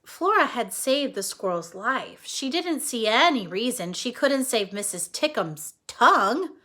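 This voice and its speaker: A woman reads to children in a bright, forceful voice that swings widely in pitch. She takes her time like a teacher at story time, acting out the prose and stepping aside to explain it in simple words. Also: measured pace. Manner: expressive, explains simply, often checks in with listeners. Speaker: teacher reading aloud to children. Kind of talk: animated read-aloud storytelling with explanatory asides.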